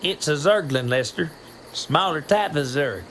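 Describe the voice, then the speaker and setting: Bossy, high-pitched redneck sergeant. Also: male voice, animated, a little slow, background noise. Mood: explaining, uneasy. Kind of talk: video game cutscene acting.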